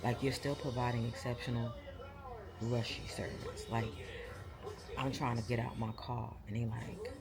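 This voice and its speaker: A woman's voice: a bass voice, normal speed, over loud music. New York woman in her fifties vlogging. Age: 50s. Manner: animated storytelling, playful. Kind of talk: casual vlog chat. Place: in a car, then a waiting area at a tire shop. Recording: loud background noise.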